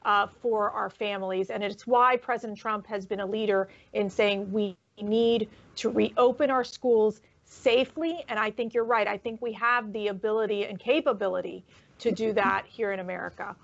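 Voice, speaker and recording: A woman's voice: medium pitch, fairly even intonation, moderate pace, gravelly. A woman co-hosting a panel discussion, affirming and conversational. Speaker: co-host. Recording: muffled lo-fi audio.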